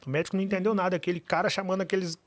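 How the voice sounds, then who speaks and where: A man, sitting as a podcast guest and telling his story. He talks fast and keeps driving his points home by repeating them. His voice sits a bit higher than most men's. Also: no echo. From Minas Gerais, Brazil. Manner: fast, animated storytelling with emphatic repetitions.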